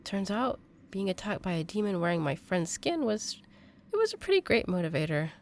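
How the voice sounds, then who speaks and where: An actress playing an earnest young female exorcist in an audio drama, halting and emotional. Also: mid-range voice, very animated, a little hiss or hum, lightly acted. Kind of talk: intimate audio drama acting.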